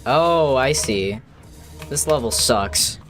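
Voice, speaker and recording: A man's voice: mid-range voice, expressive, slow, fairly bright tone, faint music behind. Chatty streamer man rambling mid-game. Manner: chatty, rambling, answers chat, swears. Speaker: gaming streamer. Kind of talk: casual live-stream commentary while playing.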